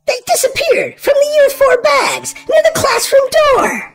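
A woman hosting a children's read-aloud, narrating in an exaggerated, sing-song, expressive way for small children. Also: very high voice, unhurried, faint music behind. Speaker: host and reader of a children's read-aloud show. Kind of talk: animated children's storybook narration.

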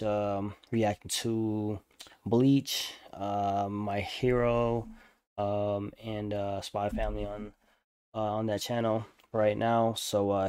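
A man's voice: lowish voice, darkish tone, clear, resonant tone, dry recording. A young man giving casual, slangy reaction commentary in a laid-back way. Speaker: reaction video host. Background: game trailer music.

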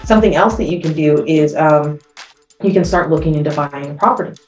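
A woman's voice: sits low, a little fast, a little mellow, reverberant, recorded from a distance, faint music behind. A woman hosting a podcast in a studio, explaining money topics casually with many fillers. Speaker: podcast host. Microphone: studio mic on arm.

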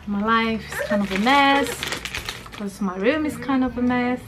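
A woman's voice: on the high side, normal speed, noisy background. Chatty thirty-year-old woman vlogging from her bedroom. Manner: rambling, chatty, many fillers. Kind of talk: casual chat to camera. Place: bedroom, indoors. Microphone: camera at arm's length.

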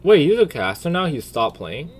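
A man's voice: on the high side, big pitch swings, moderate pace. A man giving casual, slangy reaction commentary as a streamer, blunt and full of swearing. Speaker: streamer and video creator.

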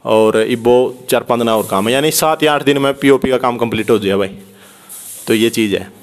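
A man's voice: medium pitch, brisk. Chatty young Haryanvi man vlogging. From Haryana, India. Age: young adult. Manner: chatty, repeatedly addresses viewers as brother. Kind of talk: casual vlog commentary. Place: unfinished concrete house, indoors and at doorway. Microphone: handheld phone.

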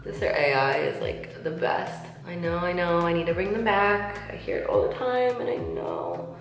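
Woman, 30s, low gravelly voice, slightly nasal from a cold, chatty makeup tutorial, echoey tiled bathroom, faint music behind. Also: sing-song, normal speed. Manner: chatty, lots of "like", soft asides while applying makeup. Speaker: beauty vlogger. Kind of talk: casual makeup tutorial chat. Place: large tiled bathroom, indoors. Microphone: camera mic at close range, seated facing camera.

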